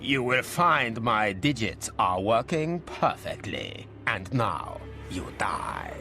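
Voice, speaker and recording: A megalomaniac video game dictator with an imperious, theatrical delivery. He takes his time, letting the pitch swing widely over each grandiose phrase, with music playing behind. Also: big pitch swings, slow, gravelly, bright, forceful tone, exaggerated voice. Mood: gloating menace. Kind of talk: video game cutscene acting.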